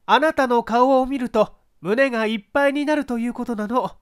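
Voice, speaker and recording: Man voicing a haughty, high-pitched glamorous actress. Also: normal speed, clean tone. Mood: mocking, brisk. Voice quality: mezzo-soprano pitch, feminine. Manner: flippant, haughty, coquettish. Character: proud, mocking glamorous actress, spurning her ex-husband. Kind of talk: dramatic audiobook character reading.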